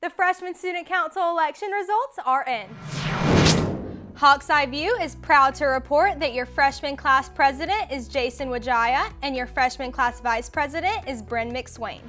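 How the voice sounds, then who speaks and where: Teen girl anchor, high bright voice, upbeat scripted school news read over a music bed. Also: moderate pace. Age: teens. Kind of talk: scripted school newscast reading. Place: school studio.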